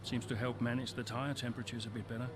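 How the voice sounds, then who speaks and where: An actor playing an older, weary but earnest racing driver in a video game cutscene, speaking in a low-key, sincere way. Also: male voice, little pitch movement, quick pace, music in the background, lightly acted. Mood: earnest, conciliatory.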